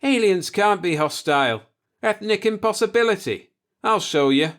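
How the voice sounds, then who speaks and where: A man voicing a rash, confident, injured spaceman in an audiobook, excitable and declarative. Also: sits high, moderate pace, pitched above the performer's own voice. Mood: delirious confidence.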